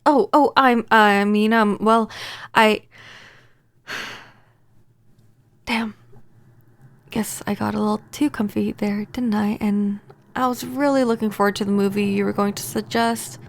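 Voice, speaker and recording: A woman playing a gentle, apologetic vampire neighbor in a soft-spoken, hesitant ASMR audio roleplay. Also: medium pitch, steady tone, unhurried, vocal fry, pauses often. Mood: flustered. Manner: hesitant, stammering, earnest.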